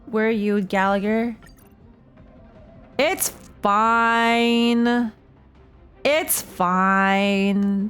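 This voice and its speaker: A woman's voice: mid-range voice, fairly bright tone, smooth. Casual woman game streamer, music behind. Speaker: streamer playing and reacting to a game. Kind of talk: casual live gaming chat, thinking aloud.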